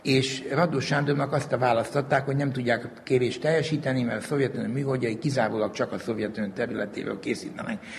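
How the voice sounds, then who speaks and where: A man explaining and reminiscing in long, detailed, measured sentences, like an interviewee telling history. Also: medium pitch, steady tone, gravelly. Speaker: interviewee recalling the history of maps. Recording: reverberant hall with faint background noise.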